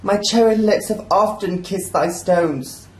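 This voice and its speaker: Woman doing lovesick young maiden, shakespeare radio play, slow and theatrical, echo on it. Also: medium pitch, darkish tone, lightly acted. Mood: lovelorn. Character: lovelorn young maiden in a clumsy play-within-a-play. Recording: roomy, echoing amateur recording.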